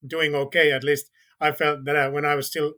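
Finnish man, guest on a podcast, halting careful phrasing, fairly high voice. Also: little pitch movement, pushed and bright. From Finland. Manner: careful, halting, with many restarts. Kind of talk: casual podcast conversation.

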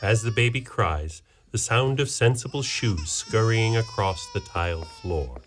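Deep-voiced man reading stage directions. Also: expressive, a little slow. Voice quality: plain and matter-of-fact. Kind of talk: scripted narration.

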